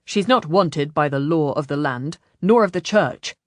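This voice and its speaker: An earnest young man of the church, acted by a woman narrator speaks firmly and formally, ready to challenge. The storybook voice sits a little low and is recorded very dry. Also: expressive, a little slow, no room sound. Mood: firm, official. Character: earnest, assertive young male church inquirer.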